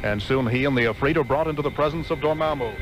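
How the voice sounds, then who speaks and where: A man's voice: medium pitch, quick pace, over music, close to an everyday voice, rough recording. A man playing the solemn, dramatic narrator of a 1960s radio drama, reading scripted narration. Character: dramatic, solemn male storyteller.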